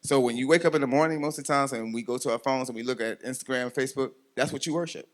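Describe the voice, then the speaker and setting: A man's voice: medium pitch, racing. A man in his forties hosting a talk show, rambling with many ums and laughing often. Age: 40s. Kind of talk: casual chat interview.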